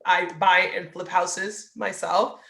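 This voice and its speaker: A woman's voice: fairly low pitch, level tone, takes it slowly, vocal fry, fairly bright tone, reverberant. A woman from Trinidad and Tobago talking earnestly about leadership as an interview guest. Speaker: guest, real estate team leader. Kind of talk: casual interview over video.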